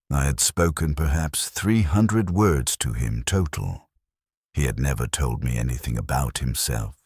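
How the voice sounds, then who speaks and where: A man narrating a scripted first-person war story as a stoic, weary military clerk, in a somber, measured way. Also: very deep voice, darkish tone, slightly rough, no echo, studio quality. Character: weary, stoic military supply clerk recounting wartime losses.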